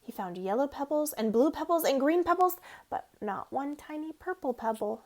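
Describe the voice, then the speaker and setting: High-voiced woman reading a children's story. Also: expressive, moderate pace, a little mellow. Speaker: storybook reader. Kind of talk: expressive children's read-aloud narration.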